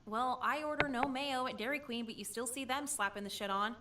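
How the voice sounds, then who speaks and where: A woman voicing a cynical, foul-mouthed teenage schoolgirl in a visual novel game, sarcastic and deadpan. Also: fairly high pitch, brisk, background noise, natural voice. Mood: defiant.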